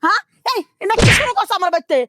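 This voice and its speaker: A woman's voice: very high voice, quick pace, a bit bright, dry recording, clean studio sound. A woman playing a scolding, old-fashioned Bangladeshi village mother-in-law in a domestic drama, haranguing with repeated questions in a rural Bangladeshi accent. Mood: outraged. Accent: rural Bangladeshi Bengali. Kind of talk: improvised-sounding domestic drama acting.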